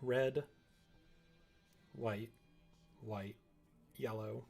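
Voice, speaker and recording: A man's voice: medium pitch, fairly even intonation, drawn-out words, creaky, darkish tone, faint music behind. A man livestreaming casually as he works, chatting and muttering to himself while he concentrates. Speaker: live streamer. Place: indoors at a computer.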